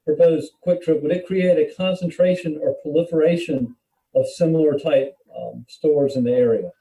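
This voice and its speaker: A man's voice: fairly high pitch, fairly even intonation, normal speed, warm tone. A man chairing a public meeting, putting formal questions in a procedural, courteous manner. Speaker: board chair running a hearing. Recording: dull echoey room, distant mic.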